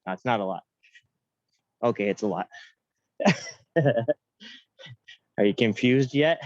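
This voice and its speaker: A young man coaching a workout class, counting reps and giving upbeat cues with joking asides in a high-pitched voice. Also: slow, dry recording, lo-fi sound. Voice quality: self-described high-pitched voice. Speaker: fitness coach. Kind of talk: guiding an online workout class.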